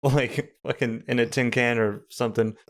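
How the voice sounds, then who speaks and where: A man's voice: mid-range voice, fairly even intonation, normal speed. Man, podcast guest, hesitant, rambling, self-deprecating. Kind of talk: casual podcast chat.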